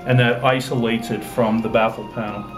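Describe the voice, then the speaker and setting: A man explaining a product in an interview, halting and matter-of-fact. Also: medium pitch, flat delivery. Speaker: loudspeaker maker. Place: exhibit room at an audio show. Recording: echoey room, music behind.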